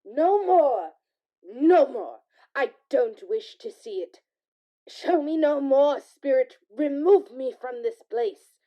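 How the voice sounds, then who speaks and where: A woman playing an anguished old male miser in a radio play, halting and emotional in delivery, with a pleading manner. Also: high voice, lively intonation. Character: anguished old male miser shown his own past. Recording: slightly dull audio with music.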